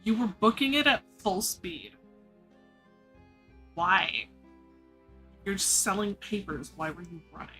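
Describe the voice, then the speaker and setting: A woman's voice: medium pitch, lively intonation, slow pace, pauses often, bright, forceful tone, slightly rough, faint music behind. A sleepy woman live-streaming a game, commenting casually.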